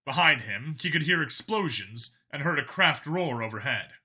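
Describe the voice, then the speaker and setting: A man's voice: medium pitch, animated, moderate pace, bright, forceful tone, slightly rough. A man reading scripted science fiction as an audiobook narrator, in a dramatic storytelling style.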